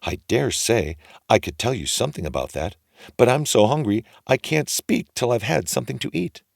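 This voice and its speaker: A man's voice: mid-range voice, lively intonation, moderate pace, vocal fry, dry recording, studio quality, voice pushed up. A man voicing a young boy in a storybook, polite and pleading with a cheeky boldness. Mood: bold, sly.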